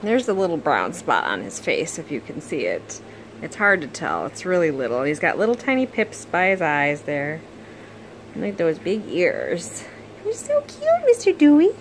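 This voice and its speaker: A woman at home cooing in soft, sing-song baby talk to her cat. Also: low voice, very animated, moderate pace, slight background noise, rough recording. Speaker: cat owner.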